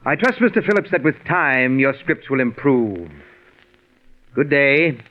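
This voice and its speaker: Man playing a haughty tyrant director, condescending, coldly sarcastic, deliberate delivery, 1940s radio drama, phone-thin muffled recording. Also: mid-range voice, animated, lightly acted. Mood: cool disdain.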